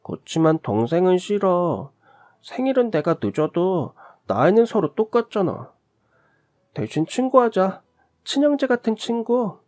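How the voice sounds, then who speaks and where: A man's voice: sits high, very animated, unhurried, darkish tone, pitched above the performer's own voice. A man voicing a clever young boy in a radio drama story, playful and insistent.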